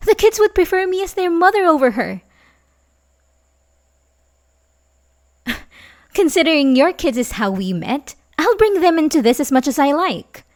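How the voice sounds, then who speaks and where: A woman playing an obsessive, manipulative young babysitter in love in an ASMR roleplay, halting and pleading with soft menace. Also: sits high, very animated. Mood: disbelieving, indignant. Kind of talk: ASMR roleplay audio drama, addressing the listener.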